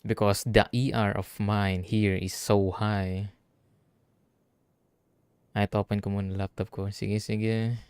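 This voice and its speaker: A man's voice: fairly low pitch, little pitch movement, takes it slowly, long pauses, darkish tone, smooth, no room sound. A man from the Philippines with a Filipino accent, thinking aloud in casual livestream game commentary. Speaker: streamer. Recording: game music behind the voice. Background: video game music.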